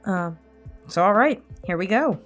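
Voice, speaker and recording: A woman's voice: mid-range voice, lively intonation, measured pace, faint music behind. A woman chatting casually and informally to her viewers as a host, thinking aloud as she goes. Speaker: host, roleplay ASMR voice actor. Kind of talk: casual chat to viewers in a pre-recorded Q&A.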